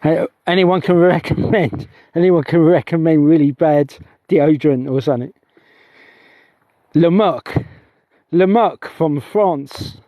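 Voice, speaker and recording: A man's voice: on the high side, slow pace, pauses often, dark tone, dry recording. A middle-aged man livestreaming outdoors on a heath, talking in a rambling, meandering way that keeps restarting itself. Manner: chatty, meandering, self-interrupting. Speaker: UFO investigator vlogger.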